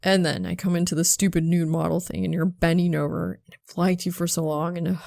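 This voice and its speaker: A woman voicing an embarrassed young male college student in a halting, rambling, gruff way for a story reading. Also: fairly low pitch, steady tone, darkish tone, no room sound, clean studio sound, pitched below the performer's own voice. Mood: agitated, embarrassed.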